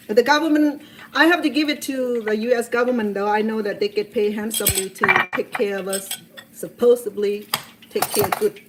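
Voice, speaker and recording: A woman's voice: medium pitch, noisy background. Earnest Cambodian-accented woman in her sixties. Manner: earnest, heartfelt, with many restarts. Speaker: cooking show host. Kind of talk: personal storytelling in a cooking video.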